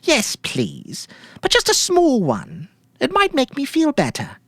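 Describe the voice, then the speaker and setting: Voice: sits high, huge pitch swings, takes it slowly, fairly bright tone, dry recording, pitched above the performer's own voice, full character voice. A performer voicing a tearful widow who speaks politely and pleadingly, with a false, coaxing sweetness, in a story reading. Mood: sweetly grateful.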